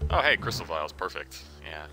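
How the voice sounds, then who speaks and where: A man's voice: deep voice, sing-song, normal speed, poor audio. A congested young man giving casual, joking commentary while he plays a video game, talking to himself as he goes. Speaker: let's play commentator. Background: loud game music.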